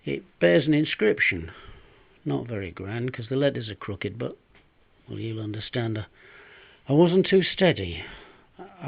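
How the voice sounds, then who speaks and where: An elderly man reciting a rhyming monologue as a humble, tender-hearted former down-and-out, plaintive and measured, with dramatic pauses. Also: mid-range voice, creaky, slightly rough, dull audio, full character voice. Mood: choked, solemn. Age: 70s or older.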